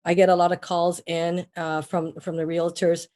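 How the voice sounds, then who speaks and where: A woman's voice: lowish voice, completely flat delivery, moderate pace, dark tone. A woman, a mortgage broker being interviewed, talking casually with many restarts and fillers. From Trinidad. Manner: rambling, with frequent restarts and fillers.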